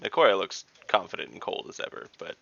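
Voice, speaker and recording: A man's voice: low-pitched, big pitch swings, fairly quick, gravelly, a bit bright, dry recording, muffled recording. A man playing an online tabletop roleplaying game, chatting casually in a teasing, joking way. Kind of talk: casual playful in-game chat.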